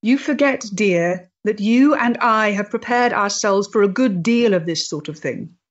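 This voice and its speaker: Actress as a resolute Victorian freethinker, muffled recording. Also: female voice, medium pitch, natural voice. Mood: composed, wry. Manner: measured, formal, earnest. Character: resolute principled Victorian freethinking woman, composed and bitter. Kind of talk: period stage-play acting.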